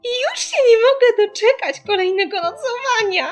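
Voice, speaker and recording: A woman voicing an excitable, easily frightened little girl in a children's audiobook, with wide-eyed manner. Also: very high voice, normal speed, soft background music, voice pushed up. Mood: excited.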